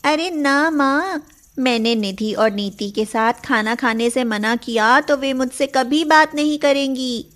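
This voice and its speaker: High-pitched young schoolgirl voiced by a woman. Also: a little slow, fairly bright tone. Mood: earnest, affectionate. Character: emotional, loyal young schoolgirl in a moral tale. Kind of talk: acted Hindi moral cartoon story.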